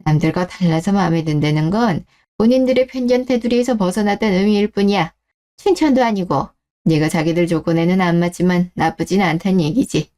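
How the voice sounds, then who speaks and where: A protective middle-aged father read by a woman talks in a mellow, gentle tone. He is frank and measured, cautioning out of worry as in a family radio drama. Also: fairly low pitch, close to an everyday voice. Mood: frank concern. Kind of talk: scripted story-radio drama.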